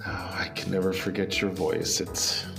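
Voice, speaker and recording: A man's voice: sits low, little pitch movement, moderate pace, gravelly. A man playing a weary, dramatic man in tabletop roleplay, with music over the voice. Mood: weary, uneasy.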